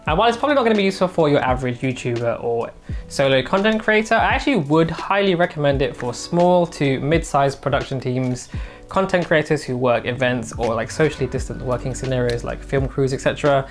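A man's voice: medium pitch, brisk. Guy in his 30s doing a tech review at his desk, bit of music behind. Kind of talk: scripted product review to camera. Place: small home studio room. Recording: music bed under the voice. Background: background music.

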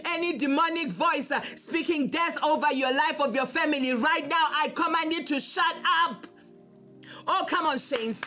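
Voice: high-pitched, pushed and bright. A preacher delivering a fervent prophetic sermon and declarative prayer in an emphatic, repetitive, exhorting manner.

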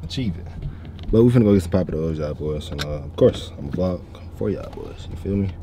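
A young man with an Atlanta Southern accent talks to his phone camera in a low, easygoing voice, full of slang and asides to his viewers. The phone recording picks up a steady noise bed behind him. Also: fairly quick. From Atlanta, Georgia. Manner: laid-back slangy banter to camera. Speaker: vlogger. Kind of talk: casual vlog chat. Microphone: phone camera held low.

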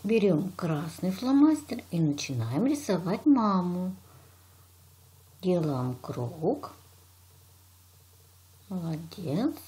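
A woman, a teacher giving step-by-step instructions in deliberate, short, simple phrases. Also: lowish voice, sing-song, mellow. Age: 60s. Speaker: children's art and animation teacher. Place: indoor room, plain wall.

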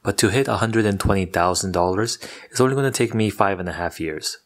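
A young man with a very low voice talks through his money plans in a flat, even monotone. He sounds scripted and steady, recorded at home on a microphone off camera. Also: a little fast. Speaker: personal finance YouTuber. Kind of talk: scripted video narration. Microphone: studio microphone off camera.

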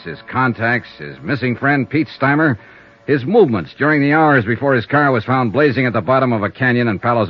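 Muffled vintage radio sound with faint music. An actor plays a hard-boiled American investigator, tough and wry. He talks in clipped wisecracks with a lot of rise and fall in pitch. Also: close to an everyday voice. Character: wry, tough, freelance insurance investigator, adult man. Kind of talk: 1950s radio detective acting and narration. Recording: muffled old radio recording.

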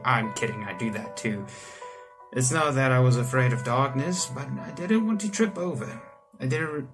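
A man in his late teens hosting a let's play, reading visual novel text aloud and breaking into animated asides. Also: mid-range voice, low-quality recording. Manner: animated asides, exclaiming between read passages. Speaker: gaming channel host. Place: small room indoors at home.

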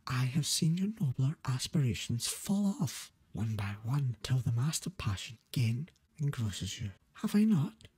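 A man voicing a gentle, sorrowful young woman in a storybook reading, breathy, earnest and formal. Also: medium pitch, big pitch swings, a little slow, no echo, full character voice. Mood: quiet hurt.

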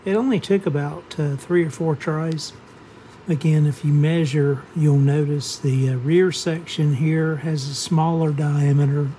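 Mellow-voiced man demonstrating at a workbench. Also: on the high side, a little hiss or hum, rough recording. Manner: hesitant, methodical step-by-step explaining. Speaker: host of a radio repair how-to channel. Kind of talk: unscripted hands-on workshop demonstration. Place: indoor home workshop bench.

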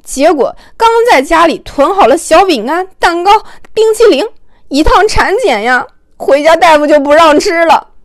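A woman tells a story as an audiobook narrator, her voice very high. Her delivery is expressive and conversational, recorded close and dry. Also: normal speed, dry recording.

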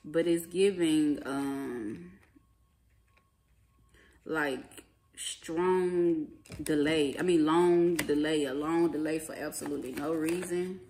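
Low-voiced woman, blunt tarot reader. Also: steady tone, takes it slowly, mellow. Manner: blunt, profane, emphatic; colloquial, slangy phrasing. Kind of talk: informal tarot reading, conversational. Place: small indoor living room at a table. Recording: clearly noisy home recording, card clicks.

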